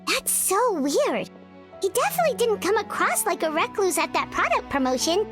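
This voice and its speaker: A woman's voice: sing-song, normal speed, fairly bright tone, soft background music, exaggerated voice. A woman voicing a tiny, chirpy female fairy companion who talks about herself in the third person. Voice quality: high, bubbly, speaks of herself in third person. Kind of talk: video game voice acting.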